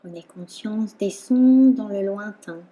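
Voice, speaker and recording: Calm lady doing guided meditation, really slow w long pauses, super warm dark voice. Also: mid-range voice. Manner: calm, soothing, with long pauses between phrases. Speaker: yoga nidra instructor.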